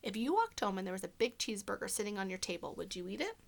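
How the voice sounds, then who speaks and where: A woman reading a storybook aloud to children as a teacher, pausing to explain simply and ask the young listeners questions. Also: medium pitch, very animated, creaky.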